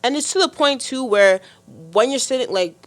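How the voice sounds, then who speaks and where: A teenage girl with a deep voice, hosting a youth podcast in casual talk, full of fillers and restarts. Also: expressive, normal speed, faint music behind. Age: teens. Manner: chatty, many fillers and restarts. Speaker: podcast host. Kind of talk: casual discussion.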